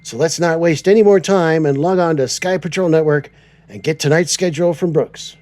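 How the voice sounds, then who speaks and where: A man's voice: on the high side, moderate pace, background noise. A man hosting a semi-scripted trucking show in a playful, upbeat manner. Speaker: show host in trucking persona.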